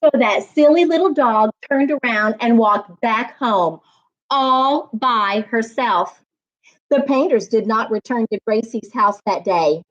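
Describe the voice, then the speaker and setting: A woman reading aloud and teaching as a storytime reading coach, with deliberate, emphatic phrasing meant for young listeners. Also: fairly high pitch, dull audio. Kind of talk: expressive children's read-aloud and teaching.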